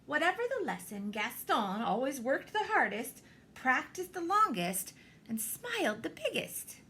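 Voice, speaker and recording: A woman reading a children's picture book aloud, expressively, with theatrical pauses and deliberate emphasis. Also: sits high, big pitch swings, bright, forceful tone, slight background noise. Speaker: storybook reader, teacher.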